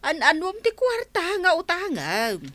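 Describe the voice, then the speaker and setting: A woman's voice: very high-pitched, huge pitch swings, measured pace, sharp tone, no room sound, heavily acted. An actress playing an outspoken, prying woman in a Filipino radio drama in Ilocano, chiding as she talks.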